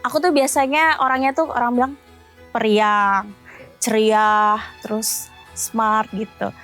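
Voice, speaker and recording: A woman's voice: a little high, steady tone, measured pace, a bit bright. A chatty, scatterbrained woman, telling things casually as a dating show contestant. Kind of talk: casual self-introduction, storytelling. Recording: music playing behind the voice. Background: music.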